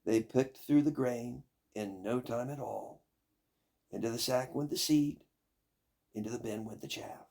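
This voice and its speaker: An older man with a gravelly, raspy voice reading a fairy tale aloud in a measured, expressive storytelling manner. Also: mid-range voice. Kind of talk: scripted fairy tale narration.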